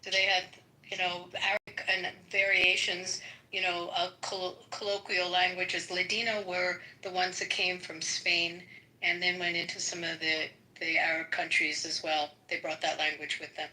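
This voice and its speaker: A woman's voice: fairly low pitch, little pitch movement, moderate pace, sharp tone, echoey room, poor audio. A woman in a heated, informal political debate, speaking insistently and confronting the other side. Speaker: debate participant.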